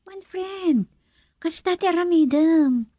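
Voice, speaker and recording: A woman playing a chatty, encouraging female friend in a radio drama, with a prodding, animated manner. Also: very high-pitched, slow pace, dry recording, clean studio sound. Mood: eager, prodding.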